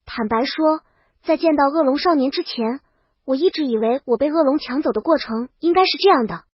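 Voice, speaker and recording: A woman's voice: high-pitched, fairly quick, dry recording. A woman reading a scripted first-person story as an audiobook narrator. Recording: dull, lo-fi dry voice track.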